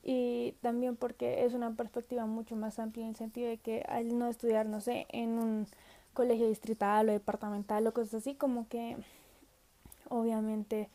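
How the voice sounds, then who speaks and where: A woman's voice: a little high, level tone, moderate pace, dark tone. A seventeen-year-old girl who is unwell, answering study questions in a casual video message, often hesitating, trailing off and laughing. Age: teens. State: sick.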